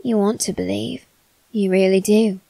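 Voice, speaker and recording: A woman voicing a polite, coaxing young male demon in an ASMR roleplay aimed at the listener, speaking softly and courteously. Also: mid-range voice, fairly even intonation, gravelly, no room sound. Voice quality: soft, measured and courteous.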